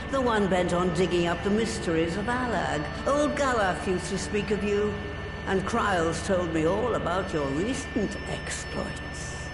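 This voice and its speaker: Crotchety old sorceress, very animated. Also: female voice, medium pitch. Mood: gruff, dry. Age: older. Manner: brusque, impatient, sardonic. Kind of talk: video game cutscene acting. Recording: roomy echo, music behind.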